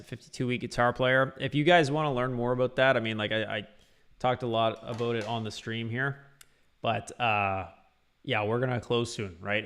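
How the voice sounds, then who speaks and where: A man's voice: mid-range voice, a bit bright, clear, resonant tone. A man teaching guitar on a casual livestream, talking loosely with lots of fillers. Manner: loose, rambling, full of fillers.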